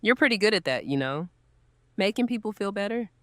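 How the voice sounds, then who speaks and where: A woman's voice: medium pitch, expressive, brisk, fairly bright tone, no room sound, clean studio sound, lightly acted. A woman playing a kind, earnest newcomer's host in an audio drama, speaking casually and sympathetically. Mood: curious, casual. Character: kind, grateful woman welcoming a newcomer, gently guilty.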